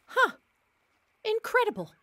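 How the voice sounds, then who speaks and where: An actress playing a wise, wry, playful woman who gives gentle counsel in a period audio drama. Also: extremely high pitch, wildly swooping pitch, unhurried, no room sound, full character voice.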